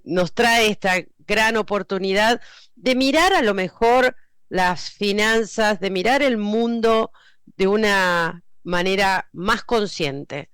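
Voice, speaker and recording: Woman hosting an interview channel, smooth voice, dry close recording, meandering delivery. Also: mid-range voice, fairly bright tone, no echo. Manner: rambling, hesitant questions. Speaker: host.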